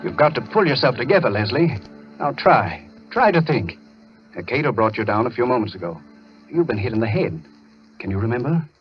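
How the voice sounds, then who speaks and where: A man's voice: medium pitch, very animated, fairly quick, faint music behind, lightly acted. Male actor, resigned doctor, earnest, articulate, mid-range voice, vintage radio drama, muffled recording. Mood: urgent concern. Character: grim, resigned male doctor held captive. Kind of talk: old radio thriller acting.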